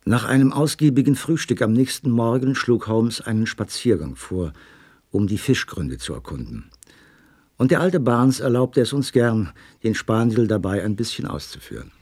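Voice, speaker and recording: A man in a radio play acting a detective's loyal gentleman companion, narrating and speaking in a composed storytelling manner. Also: medium pitch, animated, normal speed, breathy edge. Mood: composed, suspenseful narration.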